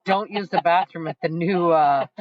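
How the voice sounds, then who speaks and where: A woman's voice: lowish voice, unhurried, lo-fi sound. A woman from Canada co-hosting a podcast, playful and giggly in casual banter, recorded at an outdoor market. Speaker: podcast co-host. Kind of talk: casual chat.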